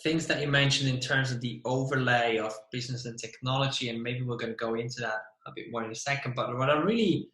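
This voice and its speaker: A man's voice: mid-range voice, fast, few pauses, a bit bright, reverberant. A man hosting a podcast interview, asking long, enthusiastic questions that wander before reaching their point. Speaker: podcast host.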